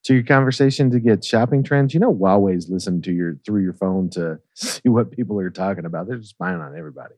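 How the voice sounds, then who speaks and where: Animated man telling rambling stories. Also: lowish voice, fast, creaky, few pauses, dry recording. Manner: rambling, profane, laughs easily. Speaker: guest storyteller. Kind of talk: casual comedic storytelling.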